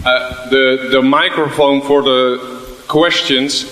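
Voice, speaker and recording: Male event staffer, bright forceful voice, practical announcements, very echoey room. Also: medium pitch, steady tone, slow pace. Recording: echoey, reverberant conference hall.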